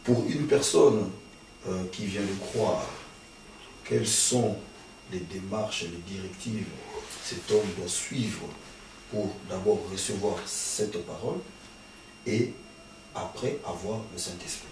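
Un homme d'âge mûr qui anime l'entretien, ponctue de murmures d'approbation et pose des questions insistantes. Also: male voice, low-pitched, takes it slowly, pauses often, darkish tone. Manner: frequent affirming murmurs, probing questions. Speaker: interviewer. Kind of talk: religious TV interview. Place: small studio with patterned wallpaper. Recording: roomy, distant, faint hiss.

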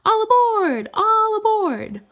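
Reader lady doing a tiny woman train conductor, high loud calls, commanding, storybook style. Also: sing-song, slow pace, full character voice. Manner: calling out announcements.

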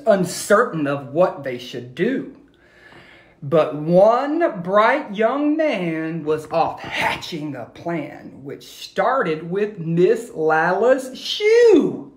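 A middle-aged man reads to children at a slow, expressive pace. He speaks directly and encouragingly to his young listeners. It is recorded on a phone in an echoey room at home. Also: on the high side. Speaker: educator reading aloud to schoolchildren. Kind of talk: expressive children's read-aloud.

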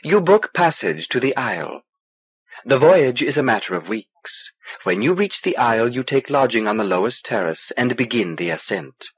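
A small, knowing alien sorcerer played by a male narrator speaks in dry, cryptic, measured lines. The voice is husky and moves freely in pitch. It comes from an audiobook on a dull old cassette. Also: sing-song, no echo. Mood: matter-of-fact. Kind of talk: audiobook character dialogue.

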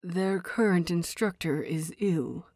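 A woman's voice: on the low side, takes it slowly, a little mellow, dry recording, clean studio sound. Woman voicing a stern, grudging old male master. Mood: bitter, reluctant. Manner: terse, reluctant, forced-out words.

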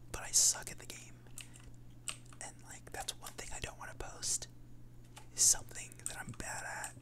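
An ASMR channel host whispering rambling, self-deprecating commentary while playing a shooter game, voice raspy from the late hour.

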